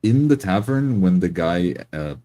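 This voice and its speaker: A man's voice: sits low, animated, unhurried, mellow. A man chatting casually in a group as a tabletop role-playing player.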